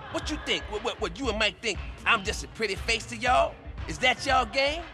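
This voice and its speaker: An actor playing a paranoid, hot-tempered young gangster in video game cutscenes, whiny and shouting. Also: male voice, very high voice, lively intonation, moderate pace, over music. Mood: indignant.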